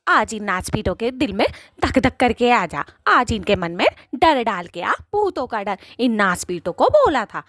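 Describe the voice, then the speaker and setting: A woman's voice: on the high side, a bit bright, dry recording, exaggerated voice. Irritable comic-horror witch, played by a woman: crude, emphatic, goading, very dry recording. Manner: cursing, emphatic, repetitive. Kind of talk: Hindi cartoon horror-comedy acting.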